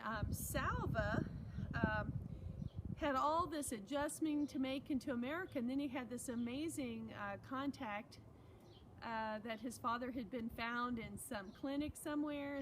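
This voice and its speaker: Higher-voiced woman reading aloud, noisy recording. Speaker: teacher reading aloud to students. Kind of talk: read-aloud of a children's novel with chatty asides.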